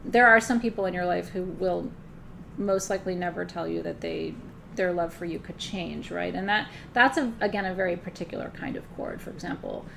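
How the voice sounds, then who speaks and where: A woman's voice: on the low side, fairly quick, gravelly, a bit bright, slight background noise. A woman teaching a live spiritual class in a loose, conversational way, often checking in with her listeners. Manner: loose, frequent fillers and restarts.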